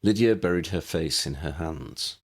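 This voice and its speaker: A man's voice: low-pitched, normal speed. A man reading scripted story narration as an audiobook narrator.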